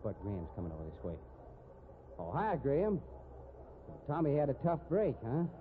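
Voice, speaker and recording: An actor playing a cocky, callous American cowboy gambler in a 1950s radio drama, with a brash, slangy western drawl. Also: male voice, medium pitch, huge pitch swings, normal speed. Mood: cocky, evasive. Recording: noisy, narrow-band old radio recording.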